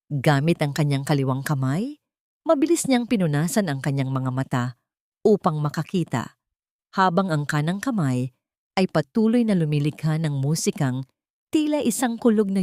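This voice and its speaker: Filipino female storyteller, lowish register, solemn dramatic narration, very dry recording. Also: darkish tone, no room sound.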